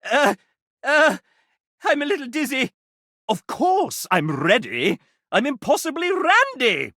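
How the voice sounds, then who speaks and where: A cheeky, flamboyant mascot from a video game, smug and flirtatious. His delivery is theatrical and unhurried, with huge leaps in pitch. The sound is very dry and close. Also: wildly swooping pitch, bright, forceful tone, no echo, full character voice. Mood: giddy, excited. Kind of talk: video game character voice acting.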